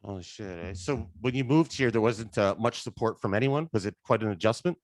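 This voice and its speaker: A man's voice: on the low side, a little fast, no echo. A Canadian man hosting a podcast, introducing the show and asking questions in a casual, friendly way. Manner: casual, friendly, loose with fillers. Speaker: podcast host.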